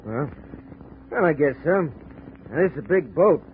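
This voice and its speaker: A man's voice: on the high side, animated, normal speed, a little hiss or hum, natural voice. An actor playing a blunt male boat captain who speaks in a terse, businesslike way, in 1940s radio acting. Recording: tinny old radio broadcast.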